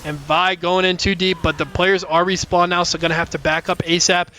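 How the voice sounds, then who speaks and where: Young man, esports play-by-play, bright tone, slightly high pitch, filler-heavy, pitch jumps on big plays. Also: level tone, a little fast, slight background noise. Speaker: esports caster. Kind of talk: live play-by-play commentary. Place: college esports broadcast booth.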